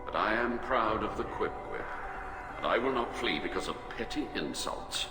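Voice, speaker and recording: A man's voice: lowish voice, expressive, lightly acted. Man playing a pompous, swooping alien admiral. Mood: defensive dignity. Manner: formal, haughty, deliberate wording. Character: pompous, prickly middle-aged alien admiral, stiffly dignified. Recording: echoey hall, music behind.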